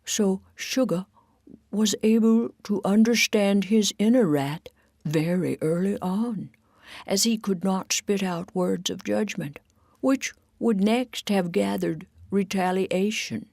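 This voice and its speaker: A woman's voice: medium pitch, slow, vocal fry, a little mellow, no echo, studio quality, pitched above the performer's own voice. A woman voicing eager, kindly lady ladybugs in a storybook, chattily explaining things.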